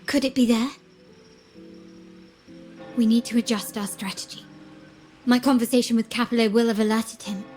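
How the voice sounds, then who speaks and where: An actress playing a composed, determined young heiress agent in a video game, speaking in a measured, formal and deliberate way. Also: female voice, on the high side, steady tone, a little mellow, faint music behind, natural voice. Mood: resolute.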